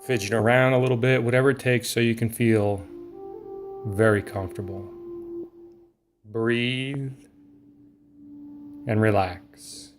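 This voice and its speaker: A man narrating a guided relaxation meditation in a soothing, lulling manner, leaving long pauses between short phrases. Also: medium pitch, steady tone, faint music behind.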